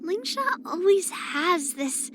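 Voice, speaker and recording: A woman's voice: very high voice, animated, slow pace, heavy background noise, heavily acted. A woman voicing a spirited, proud young swordswoman in an anime-style video game, speaking in an earnest, blunt, slightly pouty way. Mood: matter-of-fact, confident.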